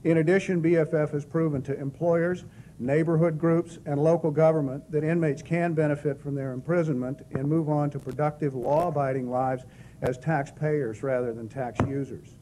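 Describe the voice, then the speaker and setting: A man with a non-Southern accent gives a formal presentation, reading figures in a steady, measured way. His voice sits somewhat high for a man. A faint noise runs under him. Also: low-quality recording. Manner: measured, reads statistics steadily. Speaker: director of corrections presenting a program. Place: hall at a press club.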